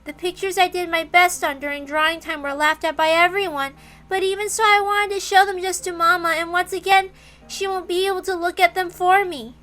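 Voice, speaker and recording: A woman's voice: extremely high pitch, pushed and bright. A woman voicing a lonely, earnest little girl who is devoted to her mother, in a dramatic story reading. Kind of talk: dramatic reading of a visual novel.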